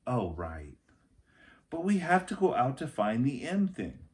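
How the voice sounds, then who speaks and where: A man voicing a bold, excitable young girl adventurer in a children's storybook. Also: mid-range voice, a little slow, pitched above the performer's own voice. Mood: eager. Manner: excitable, impulsive exclamations and questions.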